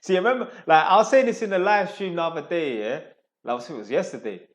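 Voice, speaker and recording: A man reacting to a clip on a stream, animated and repeating lines mockingly. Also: a little high, fast. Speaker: commentator on a live stream. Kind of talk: casual reaction commentary.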